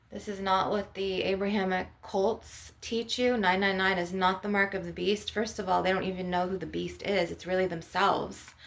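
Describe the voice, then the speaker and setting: A woman's voice: mid-range voice, gravelly. A woman in her forties speaking as a spiritual healer in an ASMR session, with an earnest, rambling, conversational way of guiding and musing. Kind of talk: guided visualization and informal spiritual monologue.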